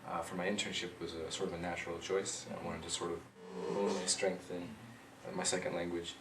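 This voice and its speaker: A young man answering interview questions in a relaxed way, thinking aloud with lots of ums and uhs. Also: low voice, a little fast. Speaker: interviewee, rover systems engineer. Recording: roomy, distant mic, clear background noise.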